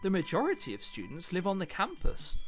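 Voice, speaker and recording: A man's voice: high voice, huge pitch swings, brisk, soft background music. A man reading the lines of earnest, reassuring adult men in a visual novel. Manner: reassuring, explanatory. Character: earnest, placating adults: a father and a doctor.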